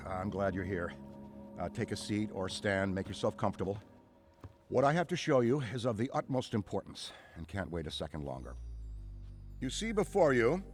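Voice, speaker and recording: Wordy, animated veteran ghost hunter played by a man. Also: medium pitch, close to an everyday voice. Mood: excited. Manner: wordy, theatrical, rambling. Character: veteran male ghost hunter, nostalgic, effusive, bookish enthusiast. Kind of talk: video game cutscene monologue.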